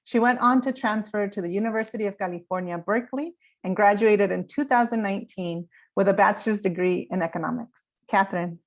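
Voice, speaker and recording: A woman's voice: medium pitch, a little fast. A woman hosting a virtual graduation ceremony, talking in a chatty way with fillers. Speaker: program host.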